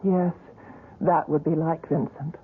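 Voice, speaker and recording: A woman's voice: deep voice, fairly even intonation, measured pace. An actress playing a curious, breathless woman in a 1970s radio drama heard over a tinny old broadcast with a faint hiss. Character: eager woman who knew the young man.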